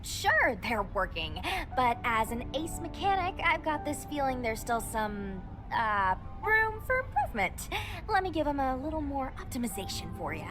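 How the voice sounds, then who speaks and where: High-pitched, animated young woman mechanic. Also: moderate pace. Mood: eager, busy. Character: eager young woman ace mechanic, cheerfully fussing over robots. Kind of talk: anime game voice acting. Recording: background music under the voice.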